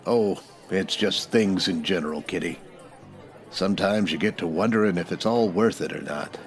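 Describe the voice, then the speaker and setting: Actor as low-voiced weary Old West marshal. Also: male voice, moderate pace, some chatter behind. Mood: downcast. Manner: hesitant, plainspoken. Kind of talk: old radio western parody acting.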